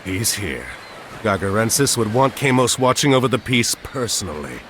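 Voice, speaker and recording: An actor playing a resolute, commanding heroic war leader in a video game cutscene, speaking with urgency. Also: male voice, lowish voice, big pitch swings, normal speed, background noise. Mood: grim. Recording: loud music behind the voice.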